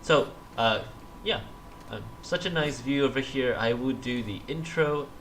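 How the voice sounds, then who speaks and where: A man's voice: mid-range voice, measured pace, fairly bright tone, slight background noise. A man co-hosting a casual gaming livestream, groggy from just waking up, who laughs often and restarts his sentences. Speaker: streamer co-host.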